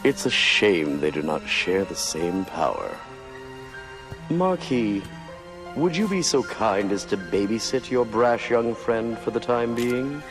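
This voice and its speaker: A menacing, silky video game sorcerer villain. The voice is deep and unhurried, swooping theatrically through mock courtesy and condescension. Music plays clearly behind it. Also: male voice, expressive. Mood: veiled threat. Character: suave, menacing male villain, a scheming researcher-sorcerer. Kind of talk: dramatic video game acting.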